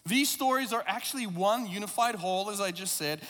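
A man's voice: sits high, fairly quick, a bit bright, big-room sound. A man from South Africa, English not his first language, preaching a sermon in a reflective, conversational way with a few self-deprecating asides. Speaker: pastor.

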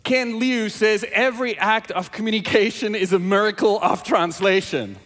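A South African pastor, native Afrikaans speaker, preaching with a fairly high voice. He engages his listeners with lively questions, and his voice rings with the long reverb of a large hall. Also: normal speed. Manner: animated, engaging the audience with questions. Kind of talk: sermon with scripture reading.

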